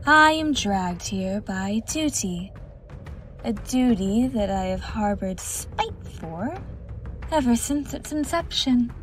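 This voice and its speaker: A woman's voice: fairly high pitch, animated, slow pace, soft background music. A woman voicing a haughty, contemptuous immortal mermaid empress in a fantasy audio drama, imperious and theatrical. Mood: disdainful.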